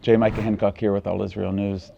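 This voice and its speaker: A man working as a journalist interviewer, asking questions in a halting way with many fillers. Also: lowish voice, level tone, gravelly, dark tone, lo-fi sound, a little hiss or hum.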